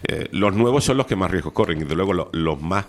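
A man's voice: deep voice, expressive, brisk. A man from Seville with an Andalusian accent mixed with a Canarian one, hosting a chatty, jokey radio interview in a studio. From Spain (Seville). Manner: chatty, jokey, asks leading questions. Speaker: radio host. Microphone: studio microphone.

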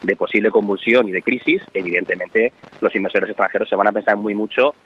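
A man giving worried, analytical economic commentary on the radio, pausing often on "eh". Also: fairly low pitch, steady tone, husky, phone-quality sound. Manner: worried, analytical, with frequent "eh" fillers.

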